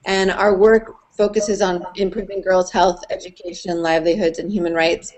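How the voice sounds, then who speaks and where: A woman's voice: on the low side, little pitch movement, normal speed, darkish tone. A woman, a nonprofit director, giving a professional introduction on a video call. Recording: faint noise on video call.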